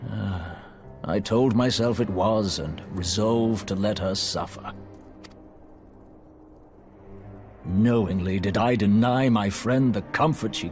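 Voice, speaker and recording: A solemn scholar from a fantasy video game, acted by a man, speaks in a low, very slow voice. His diction is archaic and courtly, weighed with grief and formality, over a light bed of music. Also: a little mellow, close to an everyday voice. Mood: regretful. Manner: formal, deliberate, theatrical.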